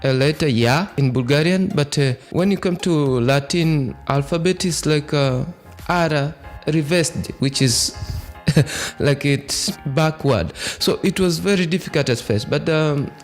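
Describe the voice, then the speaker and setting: A man's voice: a little high, measured pace, faint music behind. A young man from Tanzania, a Swahili speaker hosting a podcast and earnestly reflecting on his own experience. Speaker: podcast host. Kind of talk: personal monologue.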